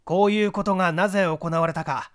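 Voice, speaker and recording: A man playing a shrewd, kindly detective in a mystery story, speaking in a measured, gently probing manner. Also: high-pitched, fairly even intonation, close to an everyday voice. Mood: composed. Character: Kudayū, composed, kindly, shrewd amateur detective.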